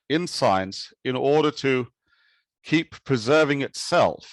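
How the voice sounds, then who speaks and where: A man from Kenya giving an academic talk with slides, speaking in a measured, thoughtful way with occasional restarts. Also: fairly high pitch, no echo. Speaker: conference speaker.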